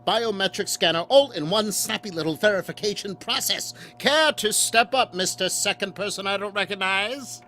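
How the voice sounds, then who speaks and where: An actor playing a whimsical, teasing checkpoint guard in an audio drama, with theatrical, pompous, florid flourishes. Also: male voice, high-pitched, normal speed, few pauses, sharp tone, a little hiss or hum. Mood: soothing, whimsical. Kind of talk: comic audio drama acting.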